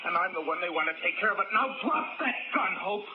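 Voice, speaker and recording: A man's voice: sits high, expressive, fairly quick, phone-quality sound, loud music behind the voice. An actor playing a sneering, treacherous male schemer holding others at gunpoint in a 1940s radio drama. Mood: menacing. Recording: noisy, thin old radio recording.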